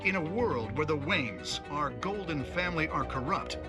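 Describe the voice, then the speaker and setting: A man's voice: a little high, expressive, normal speed, over loud music, close to an everyday voice. A man playing an opinionated TV news reporter in a video game, delivering a polished, dramatic, insinuating report.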